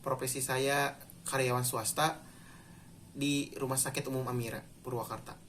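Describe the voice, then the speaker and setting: A man's voice: mid-range voice, little pitch movement, moderate pace, very noisy. A man in his twenties answering interview questions in an orderly way, going through his points one by one. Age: 20s. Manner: structured, lists points in order. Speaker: interviewee, private-sector hospital employee. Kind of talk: casual spoken answers.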